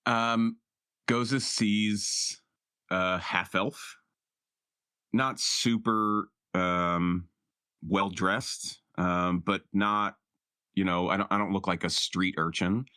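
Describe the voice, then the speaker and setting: A man's voice: low voice, steady tone, extremely slow, sharp tone, clear, resonant tone, no room sound, studio quality. A man speaking casually and off the cuff as a player in a tabletop roleplaying game. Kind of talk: casual, unscripted game talk.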